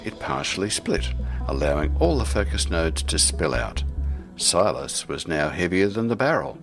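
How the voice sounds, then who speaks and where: A man hosting a radio storytime show, moving between casual announcements and scripted story reading over music. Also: deep voice.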